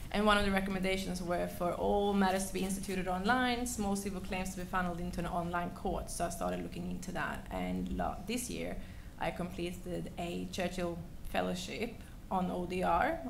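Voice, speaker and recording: A woman's voice: fairly low pitch, creaky. A young woman speaking on a conference panel, composed and matter-of-fact. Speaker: court legal adviser, panelist. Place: conference room with audience. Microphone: table microphone. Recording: room noise under the voice.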